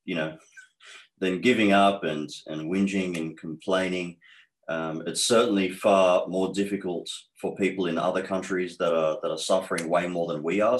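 A man's voice: low-pitched, roomy sound, poor audio. A man in his thirties with an Australian accent, a guest in a podcast interview, talking in an earnest, upbeat way with many fillers and restarts. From Australia, born in Egypt. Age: 30s.

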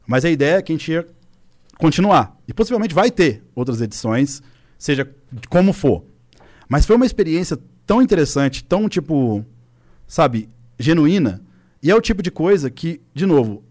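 A man in his thirties from Minas Gerais, speaking as a guest on a podcast, telling stories with lots of filler words. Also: a little high. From Minas Gerais, Brazil. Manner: animated storytelling, frequent filler words. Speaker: podcast guest, esports coach. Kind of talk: casual podcast chat. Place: podcast studio.

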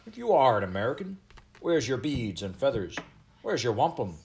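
A shrewd gentleman explorer of the Elizabethan court, played by a man reading a storybook. He sounds sceptical and challenging, with a very animated pitch. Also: moderate pace, a little hiss or hum.

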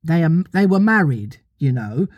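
Storybook girl , put on by a narrator: motherly, patient, gently bossy, lilting and slightly husky. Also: mid-range voice, big pitch swings, moderate pace, no room sound, muffled recording, pitched above the performer's own voice. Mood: patient, insistent.

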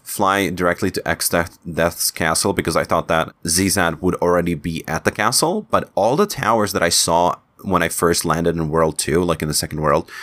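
Man, very low voice, casual game recap, rambling, restarts mid-word. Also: fairly bright tone. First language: Czech. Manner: rambling, searches for words, frequent restarts. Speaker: video game commentator.

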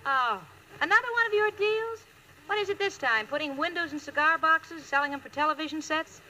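A woman's voice: sits high, brisk, close to an everyday voice. An actress playing a sensible, sarcastic young woman with dry wisecracks in an old radio sitcom. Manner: dry wisecracking, crisp delivery. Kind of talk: 1940s-50s radio sitcom acting. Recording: old radio recording with faint noise.

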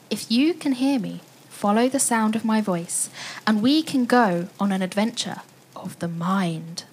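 A woman's voice: mid-range voice, darkish tone, a little hiss or hum, lightly acted, poor audio. A woman playing an enthusiastic storyteller in a family audio play, inviting listeners into an adventure with a sense of wonder.

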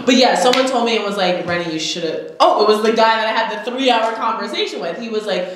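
A young woman with a notably deep voice talks candidly in a relaxed podcast chat, spinning personal stories and laughing often. The room rings with strong echo, and faint noise sits under her voice. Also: fast. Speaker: podcast co-host. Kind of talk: casual chat.

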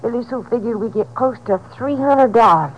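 A woman's voice: fairly high pitch, expressive, brisk, poor audio. An actress playing a sullen, resentful, uneducated girl delinquent with a rural Southern accent, in 1950s radio drama style. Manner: folksy ungrammatical drawl. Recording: old 1950s radio recording.

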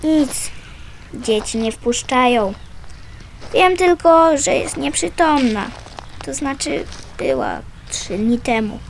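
Very high-voiced, vulnerable orphan girl. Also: very animated, takes it slowly, vocal fry, slight background noise, exaggerated voice. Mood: guarded, sad. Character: orphaned little girl from care home, vulnerable but stubborn. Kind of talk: Polish radio drama acting.

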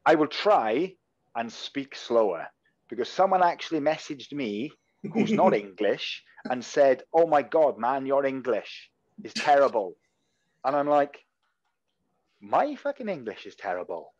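A man's voice: mid-range voice, sing-song, unhurried, a little mellow. A man with a Welsh accent co-hosting a casual podcast, clipping his words short and swearing freely. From Wales. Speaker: podcast co-host. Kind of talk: casual chat. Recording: slightly dull lo-fi sound.

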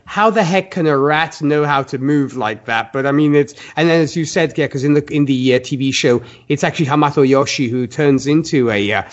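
Guy hosting a movie chat, kinda high voice, rambly, muffled lofi sound. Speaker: podcast host. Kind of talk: casual film discussion.

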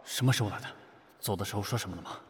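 A man playing a composed, quietly attentive company boss in an audio drama. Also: on the low side, rapid-fire, slightly rough.

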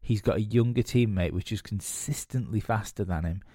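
Podcast host, male, stuffy with a cold, rambling casual chat in a deep mellow voice. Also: normal speed, dry recording, clean studio sound. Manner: rambling, many fillers and restarts. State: has a cold, nasal and sniffly. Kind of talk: casual solo commentary.

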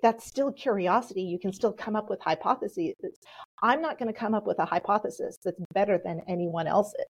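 Measured woman, Polish first language. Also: mid-range voice, slightly rough. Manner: measured, explanatory. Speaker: podcast guest. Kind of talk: relaxed interview answers.

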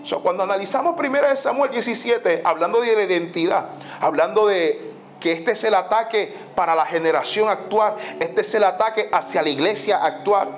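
High-voiced preacher. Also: male voice, moderate pace, a little hiss or hum. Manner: emphatic preaching, repeats phrases, mixes in English "so". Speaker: pastor. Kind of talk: sermon. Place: church sanctuary.

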